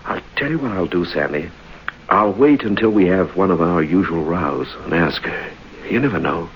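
Muffled old radio noir scheming husband, expressive. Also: male voice, low voice, normal speed. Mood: weary, confessional. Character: unhappily married man confessing murder plans to police. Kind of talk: mid-century American radio noir acting. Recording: muffled, noisy vintage radio recording.

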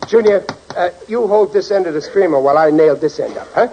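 Casting: bumbling, boastful New husband, highish animated voice, old muffled radio comedy. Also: male voice, brisk, music in the background. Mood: proud, flustered. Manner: flustered stammering, boastful. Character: blustering, bumbling working-class husband and father. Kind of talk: 1940s radio comedy acting. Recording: muffled, hissy 1940s radio recording.

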